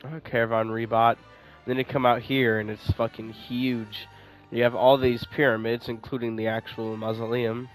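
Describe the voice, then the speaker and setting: A man's voice: mid-range voice, flat delivery, moderate pace, few pauses, poor audio. A man doing casual, rambling gameplay commentary, thinking aloud and swearing now and then. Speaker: gaming streamer playing and commenting. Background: game music.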